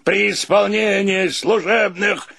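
A pompous, slow-witted old-time police officer in a radio play. His voice is high and gravelly, with a rough, husky edge. He drags out every official command at an unhurried pace. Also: steady tone, pushed and bright, no room sound, clean studio sound, heavily acted. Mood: officious. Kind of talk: Russian radio play acting.

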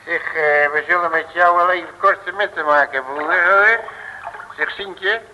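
A blustering detective in a vintage radio serial. He is high-voiced and very sharp, brash and slangy, recorded in a long reverb with faint hiss. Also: unhurried, muffled recording. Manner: colloquial, brash, wisecracking. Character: cocky, blustering, streetwise male detective. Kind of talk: 1950s radio drama acting.

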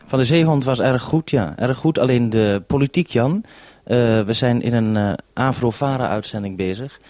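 A man hosting a radio link, speaking calmly and courteously in an orderly radio-call style. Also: medium pitch, moderate pace, no room sound. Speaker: radio host on a two-way radio link. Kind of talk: radio call, saying "over".

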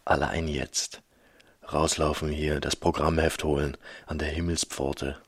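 A man's voice: very deep voice, level tone, a little slow, husky, heavily acted. A man playing a brooding lover who recalls childhood and family in short poetic phrases, in a literary radio drama. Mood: tender, hushed. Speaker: radio play actor.

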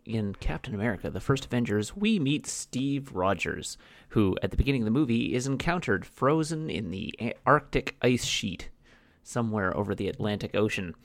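A man's voice: medium pitch, animated, moderate pace, no room sound. A young man co-hosting a casual movie podcast, dry and matter-of-fact as he recaps a plot. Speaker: podcast co-host.

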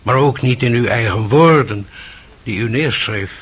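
An actor playing a grave, compassionate elderly monk in a radio drama, speaking solemnly and with gentle admonition. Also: male voice, mid-range voice, unhurried, husky. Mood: probing, grave. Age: older.

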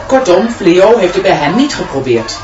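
An actress playing an alert, anxious onlooker at a school in a radio play. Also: female voice, fairly low pitch, sing-song, normal speed. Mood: anxious, watchful. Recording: distant, echoey, dull, crowd and music behind.

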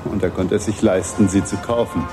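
An actor in a German radio crime drama playing a composed, guarded male schemer with a brisk, matter-of-fact manner. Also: low-pitched, fairly even intonation, over music, close to an everyday voice. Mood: calm, assured.

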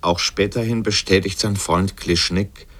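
A man's voice: low-pitched, fairly even intonation, a little slow, fairly bright tone. A man delivering scripted essayistic commentary in a literary radio piece, with dry, ironic and erudite phrasing.

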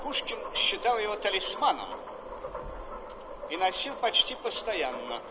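An actor playing an aging gentleman writer who reminisces with expansive theatrical flourish, recorded in a reverberant hall. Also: high-pitched, sing-song, measured pace. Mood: animated, courtly. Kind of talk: theatrical stage acting. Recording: reverberant hall, faint background noise.

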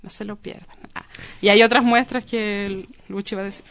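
A woman's voice: mid-range voice, steady tone, fairly quick, a bit bright, smooth. A woman speaking as a guest in a casual interview, informal and colloquial, often repeating words for emphasis.

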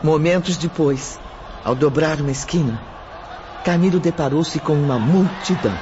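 A man's voice: fairly high pitch, normal speed, background noise. Grave male radio drama narrator. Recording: muffled track with music.

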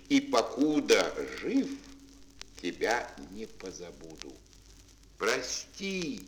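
A man's voice: medium pitch, fairly bright tone, a little hiss or hum, voice pushed down. A man voicing a faithful, weary grey wolf with a solemn, tender manner in a children's fairy tale audio play.

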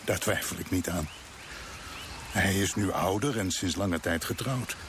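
An actor playing a loyal, deferential colonial controller in a radio drama, with a polite, cautious manner. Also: male voice, deep voice, a little slow. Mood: defensive. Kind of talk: Dutch radio drama acting. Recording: noisy old radio play recording.

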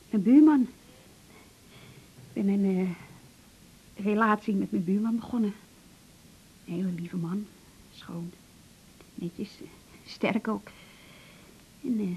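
An actress playing a depressed, pleading woman patient in a radio drama, halting and stammering in short broken phrases. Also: lightly acted. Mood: anxious, pleading. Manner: halting, stammering, repeats words, breaks into short fragments. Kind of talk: naturalistic 1980s Dutch radio drama acting. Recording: noisy older radio recording with rumble.

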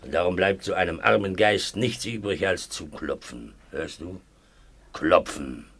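An actor playing a shady, pompous old self-styled scholar of law and ghosts in a 1950s German radio play, gravelly and mock-courteous. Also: male voice, low-pitched, measured pace, fairly bright tone, full character voice. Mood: sly, showman-like. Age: older. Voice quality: gravelly, husky.

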